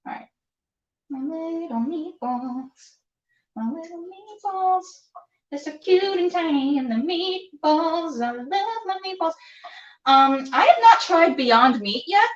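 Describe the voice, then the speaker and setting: A woman hosting a casual, chatty cooking show, rambling playfully and talking to herself as she goes. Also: high voice. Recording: echoey room, distant mic, dull sound.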